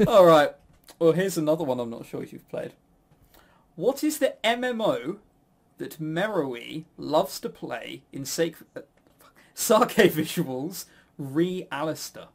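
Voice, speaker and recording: A man's voice: on the high side, huge pitch swings, takes it slowly, vocal fry, pauses often. A man hosting a casual quiz, chuckling and teasing as he banters. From a Western country. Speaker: quiz host.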